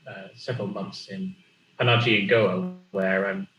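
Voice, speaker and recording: A young man introduces himself on a panel in a relaxed, slightly hesitant way. His voice is fairly low. The room is echoey, with faint background noise. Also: normal speed. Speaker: panelist, water engineer and student. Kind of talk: online panel discussion. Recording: roomy echo with faint noise.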